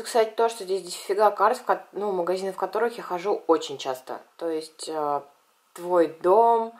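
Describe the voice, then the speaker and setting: A woman in her twenties with a slightly husky, worn voice, chatting casually to the camera as a vlogger. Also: lowish voice. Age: 20s. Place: indoors, small room at home.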